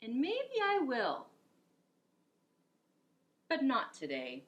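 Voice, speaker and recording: Animated woman reading a children's story. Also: high-pitched, very slow, highs cut off. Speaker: storybook reader, teacher. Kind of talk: expressive children's story read-aloud.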